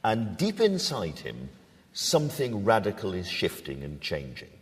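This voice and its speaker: A man's voice: fairly low pitch, wildly swooping pitch, measured pace, a little hiss or hum. A man chairing a literary discussion and putting questions to the panel. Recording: reverberant hall.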